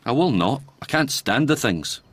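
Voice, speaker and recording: An actor in a radio drama playing and narrating as a tolerant older Scottish doctor, dry and avuncular. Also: male voice, mid-range voice, sing-song, normal speed. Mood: dry, wry.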